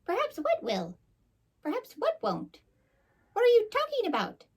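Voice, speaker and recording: A woman voicing a small, nosy storybook squirrel with a squeaky, inquisitive delivery. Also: wildly swooping pitch, unhurried, heavily acted. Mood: curious.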